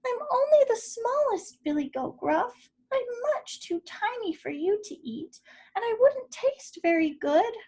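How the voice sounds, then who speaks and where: A woman voicing the smallest billy goat, a tiny timid creature with a squeaky, pleading voice. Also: very animated, a little slow, darkish tone, heavily acted.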